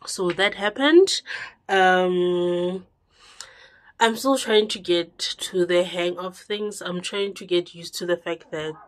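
A congested young woman chatting to camera in a small room, her voice rising and then dropping to a low murmur. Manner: chatty, with drawn-out words and sudden drops to a low murmur. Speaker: vlogger. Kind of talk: casual direct-to-camera chat.

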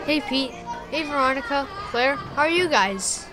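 A man's voice: extremely high pitch, animated, normal speed, over music, low-quality recording. An actor playing an earnest, troubled young boy in an audio drama, speaking hesitantly. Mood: friendly, curious.

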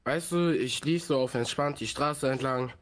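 A man with a hoarse, mid-pitched voice pleads and argues his innocence in a game roleplay. His delivery is defensive and insistent. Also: moderate pace. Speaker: roleplay player.

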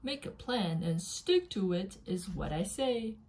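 A woman voicing an excitable, fussy elephant who loves planning, in a deliberate, emphatic picture-book read-aloud. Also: medium pitch, natural voice. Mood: bossy, planning. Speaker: parent reading aloud with their child. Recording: noisy home recording with clicks.

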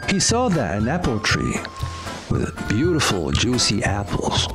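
Slow-paced male fairy tale narrator. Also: on the low side, wildly swooping pitch. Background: music.

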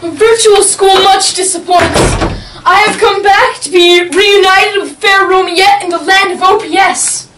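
A woman's voice: very high voice, fairly even intonation, a little slow, fairly bright tone, slight background noise. A girl in a student video playing Julio, a melodramatic lovesick teen boy. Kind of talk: school play acting. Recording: echoey room from far off.

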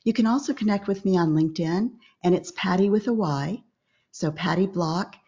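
An older woman speaks in a calm, measured way, giving clear instruction as an interview guest. Her voice sits low. The video-call audio sounds a little dull. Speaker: podcast guest, business coach.

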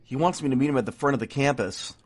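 Casting: flustered male college student actor, evasive, medium pitch, podcast drama. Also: quick pace, close to an everyday voice. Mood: evasive, hurried. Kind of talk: podcast audio drama acting.

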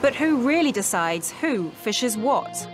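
A woman's voice: a little high, sing-song, slow pace. Woman narrating a news report over music. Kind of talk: scripted narration. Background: background music.

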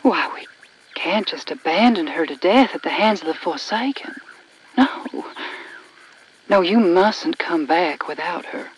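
A woman playing a sweetly menacing elderly cult elder in a video game, speaking in a soft, breathy, honeyed manner. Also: on the low side, animated, unhurried, rough recording. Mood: quietly menacing. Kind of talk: video game cutscene acting.

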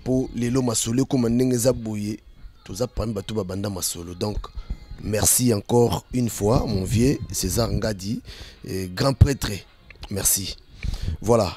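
Mellow low-voiced Congolese man hosting. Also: a little slow, soft background music. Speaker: interviewer, host. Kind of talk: casual interview, switching between Lingala and French. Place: indoors on a sofa. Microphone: handheld microphone.

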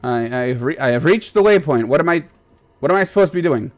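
A man's voice: fairly high pitch, animated, quick pace. A man chatting casually while playing a co-op game, joking and rambling with lots of "like". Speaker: gamer.

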